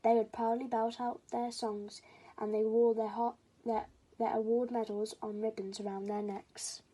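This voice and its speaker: A woman's voice: on the high side, flat delivery, moderate pace, warm tone, a little hiss or hum, low-quality recording. A woman reading a children's story aloud as scripted storybook narration.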